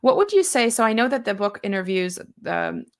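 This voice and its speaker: A woman's voice: a little high, sing-song, fairly quick. A woman hosting an interview, enthusiastic and chatty, with many fillers. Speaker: interview host. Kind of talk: conversational interview.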